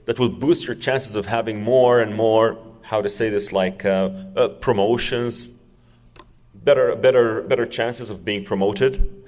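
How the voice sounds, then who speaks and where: A man's voice: mid-range voice, a little mellow. Guy in his 40s giving a heartfelt talk, repeats stuff for emphasis, big echoey hall. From Saudi Arabia. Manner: earnest, emphatic, repeats words for stress. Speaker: public speaker. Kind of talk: personal story talk to an audience.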